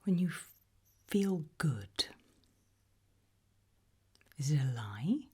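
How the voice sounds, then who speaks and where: A British woman playing an eerie, probing researcher of human behaviour in a whispered ASMR roleplay, slow, deliberate and softly menacing. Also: deep voice, big pitch swings, full character voice. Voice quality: breathy whisper.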